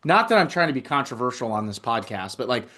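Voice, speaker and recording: A man's voice: mid-range voice, big pitch swings, quick pace, bright, forceful tone. An American man hosting a podcast, speaking in an informal, enthusiastic way. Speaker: podcast host. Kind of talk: casual interview chat.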